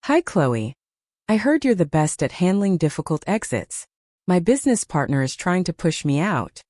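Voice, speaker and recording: A worried client, read out by a woman narrator, polite and earnest. The voice is soft and mellow with lively intonation, in an audio drama. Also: on the low side, moderate pace, dry recording, studio quality. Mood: worried request. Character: new client, polite and worried, seeking help.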